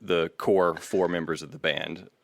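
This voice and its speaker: Low-voiced man hosting a music podcast. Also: fairly even intonation, a little slow, creaky, dry recording. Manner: blunt, makes points as a numbered list. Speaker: podcast host. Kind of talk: casual opinionated music discussion.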